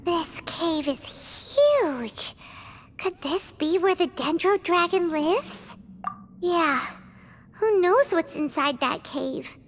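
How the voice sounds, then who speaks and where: Tiny video game fairy sidekick: extremely high childlike voice, hugely animated, excitable and anxious. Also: female voice, slow pace, a little hiss or hum, exaggerated voice. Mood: curious, doubtful. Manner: childlike, speaks of herself in third person.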